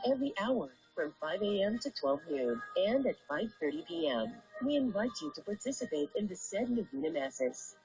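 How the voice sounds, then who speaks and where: A woman announcer reads a polished radio script in a lowish voice with very animated intonation. Music plays behind her, and the recording is a little dull and lo-fi.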